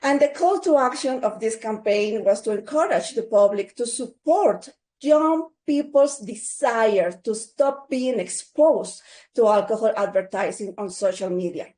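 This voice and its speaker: A woman's voice: on the high side, animated, a little slow, mellow. A woman ending a webinar presentation over a video call, earnest and hopeful. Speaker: webinar presenter from an advocacy organization. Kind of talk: closing a prepared presentation.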